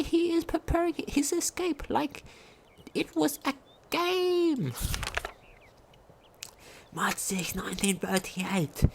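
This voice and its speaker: A woman's voice: a little high, a little hiss or hum. A woman reading diary entries aloud for a game commentary, stumbling over words and restarting as she goes.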